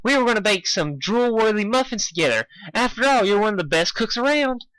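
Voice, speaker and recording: A man voicing a bubbly, hyperactive female cartoon pony who chatters excitably in a story reading. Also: high-pitched, a little fast, smooth, dry recording, pitched above the performer's own voice, low-quality recording. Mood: eager.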